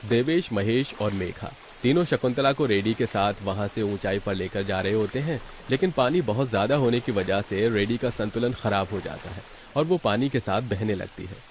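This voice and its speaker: A man's voice: on the low side, moderate pace, hardly any pauses, a little hiss or hum. A man reading scripted narration as the storyteller of a moral tale.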